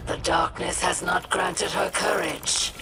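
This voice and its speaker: A woman's voice: on the low side, level tone, slow, heavy background noise. A woman playing a proud, scornful alien warrior in a video game, haughty and formal in her speech.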